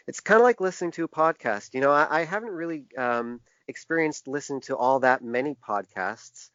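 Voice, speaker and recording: A man answering interview questions as a designer, in a conversational but halting way, often restarting his phrases. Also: medium pitch, a bit bright, clear, resonant tone, no room sound. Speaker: podcast guest, book designer. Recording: dull, band-limited lo-fi sound.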